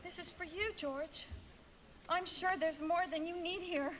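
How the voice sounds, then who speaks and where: An affectionate, excited young wife in a live 1940s-style radio drama. The voice is very high and bright, eager in delivery, over faint live-stage noise. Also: female voice, measured pace. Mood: loving, eager. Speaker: actress in a live radio play.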